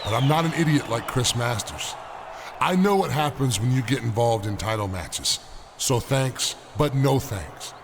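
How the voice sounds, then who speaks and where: A man's voice: medium pitch, expressive, measured pace. A man playing a cocky, dismissive big pro wrestler in a video game cutscene, with a smug, swaggering manner. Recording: noisy game cutscene audio.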